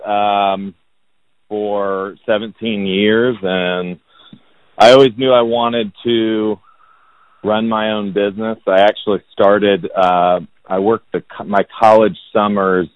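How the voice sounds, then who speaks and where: A man in his thirties from Indianapolis, a real estate agent talking about himself as a podcast guest in a monotone, self-deprecating way. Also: lowish voice, no room sound. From Indianapolis, United States. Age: 30s. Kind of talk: casual podcast interview. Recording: dull, lo-fi sound.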